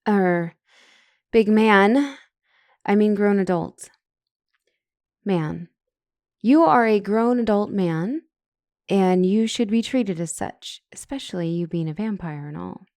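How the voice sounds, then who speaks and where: A woman's voice: mid-range voice, slow, long pauses, darkish tone, no echo, studio quality. A woman playing a flustered, smitten couples counselor who stumbles and corrects herself in a comedic audio roleplay. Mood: flirty, awkward. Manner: stammering, awkwardly self-correcting. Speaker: doctor and counselor.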